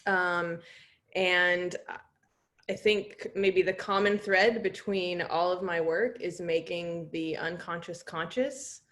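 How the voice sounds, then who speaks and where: A woman from the United States, an intercultural educator, answering interview questions thoughtfully with many pauses. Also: fairly low pitch, steady tone. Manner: thoughtful, many "um"s, soft laughs.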